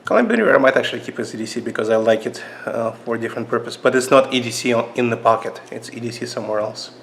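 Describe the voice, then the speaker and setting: A man with a Russian accent casually reviewing knives at a table for his channel, halting with many fillers and restarts. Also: mid-range voice, creaky, a little hiss or hum. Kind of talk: casual knife review vlog. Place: indoors at a table.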